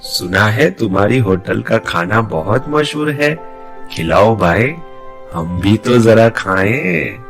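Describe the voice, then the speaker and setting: A man playing a jovial, food-loving guest in an animated moral story. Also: lowish voice, takes it slowly, creaky, faint music behind, full character voice.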